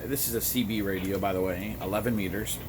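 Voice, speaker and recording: A man giving an informal, halting explanation to camera as a hobbyist radio vlogger. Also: on the low side, steady tone. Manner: halting, explanatory, apologetic asides. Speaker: amateur radio operator and vlogger. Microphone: phone front camera. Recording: noisy phone recording with background radio. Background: two-way radio sounding in background.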